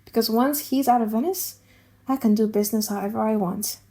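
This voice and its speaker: A woman voicing a bitter, excitable, vengeful moneylender in an audiobook play, exclaiming, gasping and wailing theatrically. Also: fairly high pitch, a little fast, lightly acted. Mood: urgent, scheming. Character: vengeful moneylender father, excitable, bitter, gleeful at rival's ruin.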